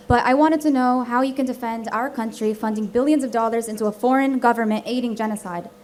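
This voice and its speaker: A woman's voice: fairly high pitch, a little mellow. A young woman, a college student, arguing defensively in a campus debate and tripping over her words. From United States. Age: young adult. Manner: defensive, hurried, stumbling.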